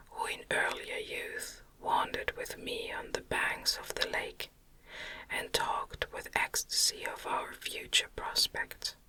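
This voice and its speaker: A woman narrator reading a gothic novel in a gentle, deliberate ASMR whisper. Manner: gentle, deliberate, measured phrasing. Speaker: audiobook narrator.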